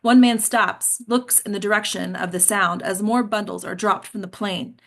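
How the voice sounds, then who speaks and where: A woman's voice: mid-range voice, fairly even intonation, normal speed, gravelly, fairly bright tone. A woman reading stage directions aloud as the narrator at a script table read.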